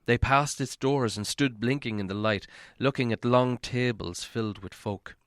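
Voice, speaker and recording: A man reading a fantasy story as an audiobook narrator, dramatic and expressive. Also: mid-range voice, normal speed, no room sound, studio quality.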